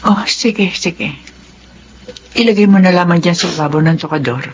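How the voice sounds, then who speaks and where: A woman plays a caring elderly mother in a radio drama. Her voice is on the low side, soothing and soft-hearted, over faint background noise. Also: moderate pace, dull audio, natural voice. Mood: gentle concern.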